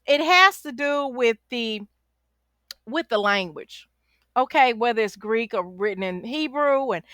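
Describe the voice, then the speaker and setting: Bright, high-pitched woman coaching casually. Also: dry recording, studio quality. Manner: exhorting, repeats phrases for emphasis; sassy, familiar, uses endearments like baby and honey. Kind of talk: casual, chatty Bible study teaching to camera.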